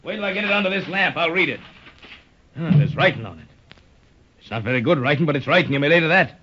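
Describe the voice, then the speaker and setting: An actor playing a commanding, protective sea captain with salty nautical talk, in old-time radio adventure style. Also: male voice, fairly high pitch, animated, fast talker, noisy background, close to an everyday voice. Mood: wary. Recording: dull lo-fi old radio recording.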